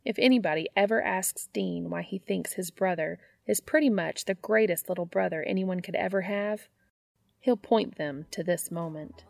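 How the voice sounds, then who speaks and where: A woman's voice: fairly low pitch, moderate pace, vocal fry, dry recording. A woman reading fan fiction aloud as scripted story narration.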